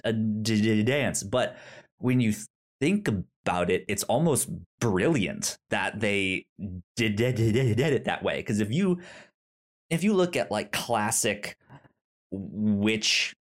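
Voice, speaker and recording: Guy on a movie podcast, fairly low voice, stutters and restarts a lot, excited chat. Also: slow pace. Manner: heavy stutter on first sounds, many restarts; animated, searching for words. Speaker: podcast co-host. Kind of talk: casual film discussion.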